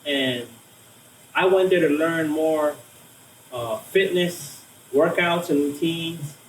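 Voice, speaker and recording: A man's voice: a little high, steady tone, slow, slight background noise. A man in his thirties from Hawaii, raised in Las Vegas, telling his story casually with many fillers and restarts. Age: 30s. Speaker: vegan cook and guest. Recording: echoey room from a distant mic.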